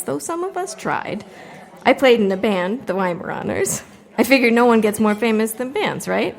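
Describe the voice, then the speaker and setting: A wry young storyteller at an open mic, played by a woman. She talks in a chatty, self-deprecating way, at a middling pitch for a woman. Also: lightly acted. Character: wry young woman doing a stage monologue.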